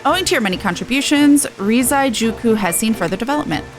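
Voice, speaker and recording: A woman's voice: on the high side, animated, fast talker, soft background music, close to an everyday voice. A woman voicing a courteous, formal quest-giver, reading video game dialogue aloud. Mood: formal, narrating. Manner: polite, formal, expository.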